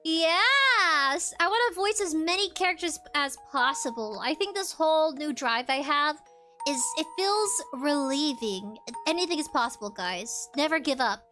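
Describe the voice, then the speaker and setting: Bubbly high-voiced woman streamer. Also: expressive, unhurried, pushed and bright, soft background music. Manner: bubbly, giggly, chatty. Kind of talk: casual live-stream chat while gaming.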